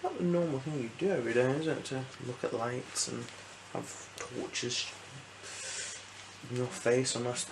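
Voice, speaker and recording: A soft-spoken person performing an ASMR eye exam roleplay as an optician, giving gentle instructions with hesitant pauses. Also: medium pitch, steady tone, creaky, noisy background.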